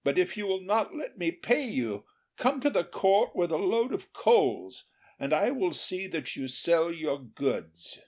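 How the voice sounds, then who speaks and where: A courteous, regal storybook king as a male narrator plays him, speaking in formal, stately phrases. His pitch sits high and swings widely, with a good-humoured, noble temper. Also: very animated, a little slow, a little mellow, heavily acted. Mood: gracious.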